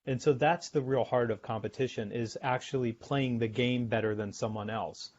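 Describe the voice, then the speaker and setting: A middle-aged American man, a sales author and podcast guest, explaining his ideas in a measured way and often repeating words as he thinks. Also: medium pitch, fairly even intonation, darkish tone. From United States. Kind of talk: podcast interview. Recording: dull lo-fi remote audio.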